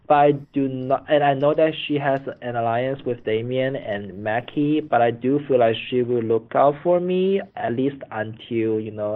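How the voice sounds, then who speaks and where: A man being interviewed as a former reality show contestant, talking off the cuff, often repeating and restarting his words. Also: mid-range voice. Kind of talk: spontaneous interview talk. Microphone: phone call. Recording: phone line, band-limited.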